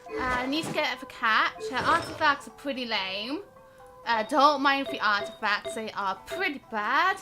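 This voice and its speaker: A woman's voice: sits high, lively intonation, moderate pace, over music. A woman chatting casually and bubbly as she hosts a gaming livestream, rambling along and talking to her viewers. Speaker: livestream host.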